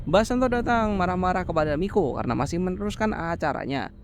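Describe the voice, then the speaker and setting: A man narrating a horror film recap in a casual, brisk way, dropping slangy joking asides between plot beats. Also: high-pitched, lively intonation, heavy background noise.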